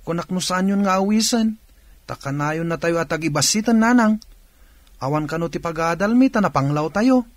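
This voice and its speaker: Guy acting eager cheeky filipino ilocano teen son, kinda high voice, radio drama, close dry recording. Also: expressive, moderate pace, no echo, close to an everyday voice. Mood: cheeky, protesting.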